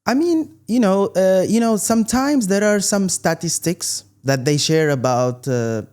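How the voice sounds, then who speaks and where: Earnest, halting man from Tunisia. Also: high voice, animated, darkish tone, clear, resonant tone. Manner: emphatic, repeats "believe me", halting restarts. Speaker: guest, content creator. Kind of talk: earnest interview conversation.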